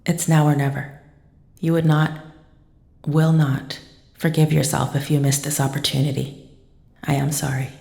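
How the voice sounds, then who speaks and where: An actress playing a grave, loyal friend in an audio drama, a woman with a low, gravelly voice and formal, firm phrasing. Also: little pitch movement, moderate pace, long pauses, a little mellow. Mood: grave, urgent. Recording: echoey, roomy sound.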